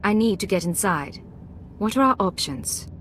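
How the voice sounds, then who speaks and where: An actress playing a self-assured secret agent in a video game, with a controlled, clipped, dry delivery. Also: female voice, medium pitch, animated, normal speed, a bit bright, a little hiss or hum, close to an everyday voice. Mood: businesslike. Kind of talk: video game spy acting.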